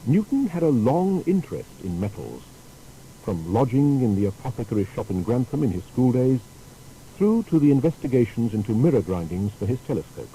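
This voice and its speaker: A man's voice: mid-range voice, fairly quick, rough recording. A man narrating a scripted historical biography in a formal, storytelling manner. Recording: steady faint background noise.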